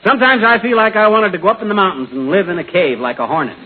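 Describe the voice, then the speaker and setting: An actor playing a breezy, blustering, slangy husband in 1940s radio comedy style. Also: male voice, sits high, racing, close to an everyday voice. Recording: tinny, noisy 1940s radio recording.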